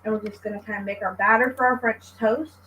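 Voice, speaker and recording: A young woman calmly explaining, step by step, how she makes a recipe. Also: mid-range voice, fast talker. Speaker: home cook demonstrating a recipe. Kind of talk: cooking demonstration. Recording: echoey kitchen with faint noise.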